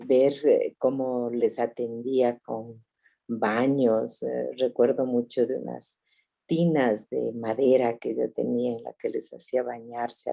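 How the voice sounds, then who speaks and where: A woman in her sixties, a family doctor from Ecuador, telling her story reflectively with soft laughs over a video call. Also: a bass voice, gravelly. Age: 60s.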